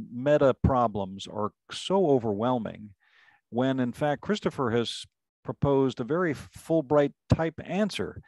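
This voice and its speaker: Middle-aged moderator, headset mic, dry sound, mid pitch, halting. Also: male voice, no room sound. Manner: warm-mannered, restarts, many fillers. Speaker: panel moderator. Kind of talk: moderating a virtual panel. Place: home room, indoors. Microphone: headset mic on video call.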